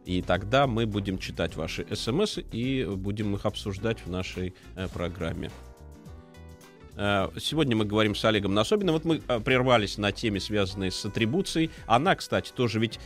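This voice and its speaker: Animated male radio host over music. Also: on the low side, a little fast. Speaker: radio talk show host. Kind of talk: live radio hosting, conversational. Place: radio studio.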